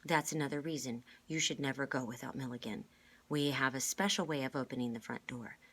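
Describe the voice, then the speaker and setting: A woman voicing a courteous, gentle young woman in a storybook, measured and reassuring. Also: rumbling low, husky, full character voice.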